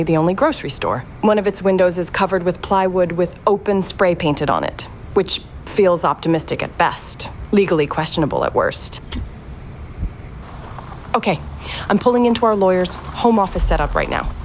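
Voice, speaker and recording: A woman's voice: fairly low pitch, slight background noise, close to an everyday voice. A woman playing a wry, suspicious, impatient heiress in an audio drama, with a dry, sardonic and guarded delivery.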